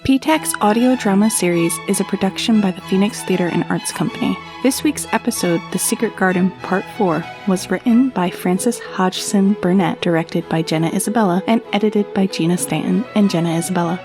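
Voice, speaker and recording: A woman announcer reads scripted production credits. Her pitch is mid-range, and music plays clearly behind her. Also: brisk, hardly any pauses.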